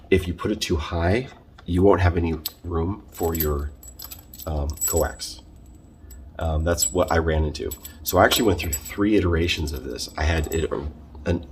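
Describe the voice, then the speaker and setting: A man talking casually through a how-to vlog in a halting, conversational way, often correcting himself. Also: low-pitched, a little hiss or hum. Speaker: video creator showing a car radio install.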